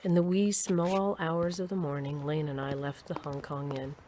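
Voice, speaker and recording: A woman's voice: low-pitched, level tone, moderate pace, lightly acted. A woman playing a plain-spoken, dryly humorous older narrator telling her adventures in an audio drama. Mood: dry storytelling. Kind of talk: scripted first-person narration in audio drama.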